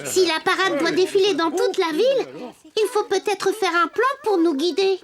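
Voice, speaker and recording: A woman's voice: very high voice, moderate pace, very noisy. A woman voicing a helpful, enthusiastic little wooden puppet boy with a childlike, encouraging manner in a French cartoon. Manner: bright-spirited, encouraging, childlike.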